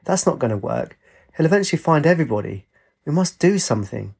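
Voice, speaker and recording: Storybook heroine, voiced by a man: spirited, kind, determined, direct, husky with lifted pitch. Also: sing-song, fast, darkish tone, heavily acted. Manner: eager, direct. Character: spirited, determined, kind-hearted female adventurer.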